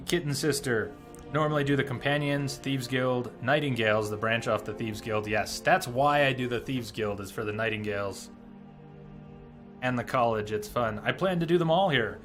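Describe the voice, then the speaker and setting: A man's voice: medium pitch, fairly bright tone. A man giving casual, chatty live commentary as a game streamer, explaining things and reading menu text aloud.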